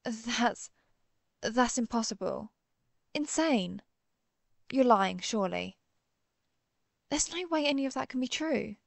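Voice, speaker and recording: A woman playing an earnest, conflicted vampire hunter who is herself a vampire, speaking formally with hesitant pauses in a dramatic audio roleplay. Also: on the high side, fairly even intonation, gravelly, no echo. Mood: disbelieving. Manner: formal, old-fashioned wording, hesitant pauses. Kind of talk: dramatic audio roleplay, one-sided dialogue.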